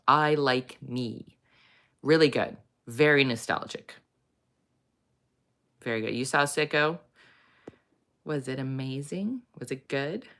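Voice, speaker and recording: A woman hosting a casual chat, rambling with many fillers, in a low morning voice. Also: a bit bright, clear, resonant tone. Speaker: host. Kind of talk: casual chat reading viewer comments.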